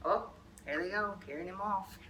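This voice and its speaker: A woman's voice: medium pitch, moderate pace, fairly bright tone, slight background noise. A woman storyteller reading a picture book aloud expressively, slipping in brief asides to young listeners. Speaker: children's storyteller.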